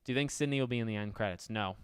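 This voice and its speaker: A man with a raspy but clear, resonant voice hosts a loose livestream Q&A. He thinks out loud, corrects himself mid-sentence, and answers viewers one after another. The sound is dry and close, with no room around it. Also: lowish voice, racing, dry recording. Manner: rambling, self-correcting, answers chat questions aloud. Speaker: livestream host reading viewer questions. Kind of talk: casual livestream Q&A chat.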